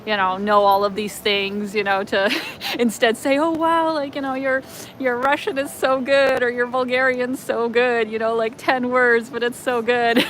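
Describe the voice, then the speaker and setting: A woman's voice: on the high side, a bit bright, a little hiss or hum, poor audio. Chatty Canadian woman on a street walk. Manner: chatty, rambling, many fillers and laughs. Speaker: travel vlogger. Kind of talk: live walking-tour chat. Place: outdoors on city streets.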